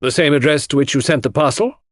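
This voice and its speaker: A man's voice: mid-range voice, fast talker, dry recording, clean studio sound, voice pushed up, natural voice. A man voicing a pompous, bullying prosecuting counsel in an audiobook, hectoring and insistent as he cross-examines. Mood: hectoring, triumphant.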